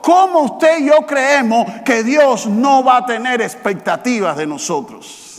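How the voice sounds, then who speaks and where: A man preaching a sermon as pastor, with emphatic repetition, rising to loud passages and dropping to quiet, slow ones. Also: very high voice, expressive. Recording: long hall reverb.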